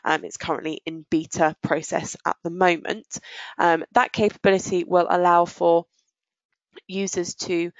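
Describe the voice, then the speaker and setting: Woman, webinar presenter, fry in the voice, explanatory business phrasing, dull lo-fi close sound. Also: fairly low pitch, little pitch movement, moderate pace, no echo. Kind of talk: software demo presentation with audience questions.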